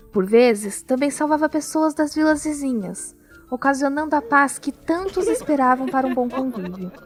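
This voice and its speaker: A woman narrating a scripted audio drama as a storyteller, with measured, deliberate phrasing. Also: sits high. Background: music.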